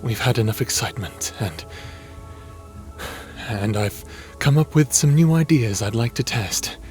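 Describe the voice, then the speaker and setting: A man's voice: mid-range voice, animated, a little slow, a little mellow, faint music behind, lightly acted. A man in a fantasy audio drama playing a lonely, brooding god of the underworld, earnest and hesitant in his speech. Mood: uneasy, pleading.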